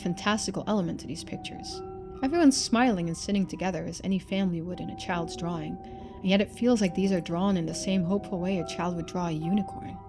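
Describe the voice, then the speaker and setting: A woman's voice: mid-range voice, darkish tone. A woman streamer giving casual playthrough commentary, thinking aloud and reading game text out.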